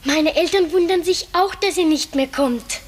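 A woman's voice: extremely high pitch, moderate pace. A woman playing a trusting young girl in a radio play, telling her story with dreamy, childlike wonder. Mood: curious, eager. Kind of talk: German radio play acting, storytelling narration.

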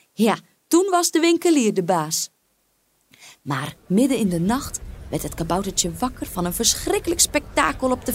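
A woman reading a fairy tale as an expressive storyteller, with dramatic, deliberate phrasing. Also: on the high side.

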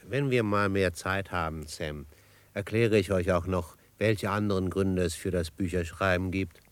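Actor as unruffled young frontier greenhorn, dry sound. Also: male voice, sits low, no echo, natural voice. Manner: composed, measured, gently teasing. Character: calm, confident young greenhorn frontiersman with writing ambitions. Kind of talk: German radio drama acting.

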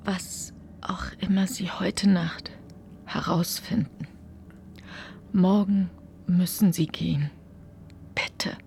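A woman playing an anxious, guarded farmer's wife in a radio drama, speaking in a hushed, breathy, urgent way. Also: on the low side, fairly even intonation, slow, long pauses. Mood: urgent, pleading.

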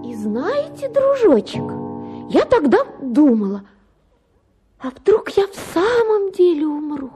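Very high-voiced, theatrical young wife. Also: female voice, sing-song, slow pace, dark tone, faint music behind, full character voice. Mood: dreamy, melodramatic. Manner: affected, sighing, melodramatic. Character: self-pitying, theatrical, capricious young wife playing the invalid. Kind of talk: dramatized literary short story for radio.

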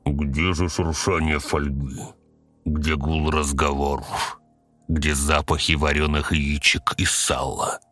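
A man voicing a slow, very deep, sinister conductor. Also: level tone, a bit bright, soft background music, heavily acted. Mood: mock concern. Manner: slow, drawling, ironic, falsely soothing. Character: sinister male undead train conductor, mockingly sweet, calmly menacing.

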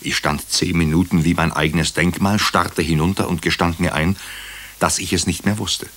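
An actor playing a wry, brooding hospital doctor who tells a murder mystery in a German radio drama, in measured, introspective, clipped phrases. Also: male voice, sits low, steady tone, a bit bright. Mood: dry narration. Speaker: narrator and lead character. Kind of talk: German radio drama, first-person crime narration.

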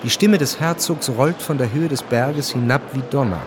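A male narrator reads literary radio drama in a measured, exact manner. His voice sits at a middle pitch and stays dry in tone, with clear background noise beneath it.

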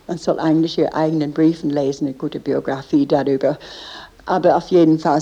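Low, husky woman guide, foreign accent. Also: mellow. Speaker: tour guide. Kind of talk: informal guided-tour explanation.

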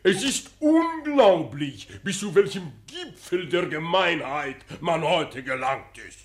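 A pompous professor with a fairly high male voice and a slow, lecturing delivery. He is self-righteous and earnest, a radio-play crusader who takes offence easily. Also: big pitch swings, full character voice. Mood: lecturing, solemn. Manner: pompous, didactic, easily affronted. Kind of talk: German radio play acting.

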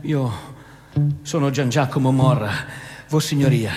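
An actor playing a humble accused barber, deferential and halting as he protests his innocence in theatrical style. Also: mid-range voice, darkish tone, close to an everyday voice. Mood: wary, deferential. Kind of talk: theatrical stage acting. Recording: echoey stage hall, music behind.

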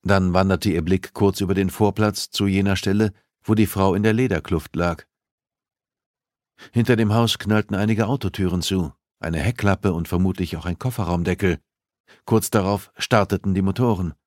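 A man's voice: low voice, moderate pace, a little mellow, dry recording, studio quality. A man reading a thriller novel aloud as scripted audiobook narration.

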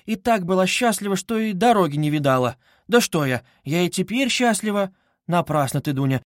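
A man's voice: sits high, animated, brisk, no echo, voice pushed up. An anxious older mother, acted by a male reader, tender and easily flustered. She gushes and placates, as in a dramatised audiobook novel. The recording is dry and close. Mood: tender, happy.

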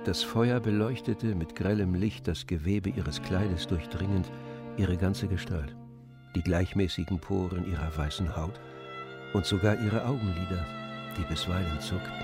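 Narrator: man with a lower-pitched voice, measured literary storytelling for a radio play, music underneath. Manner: measured, descriptive.

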